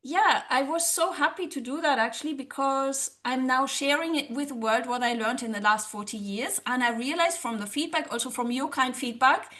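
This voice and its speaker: High-voiced woman, earnest and animated. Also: normal speed, hardly any pauses. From Germany. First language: German. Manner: earnest, animated, often acts out her own past thoughts. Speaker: guest, social psychologist and language coach. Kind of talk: interview conversation.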